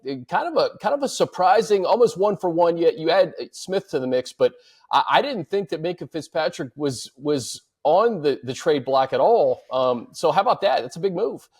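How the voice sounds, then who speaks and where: A man's voice: on the high side, darkish tone, husky. A man hosting a sports podcast, chatting in a casual, informal and upbeat way. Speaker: podcast host.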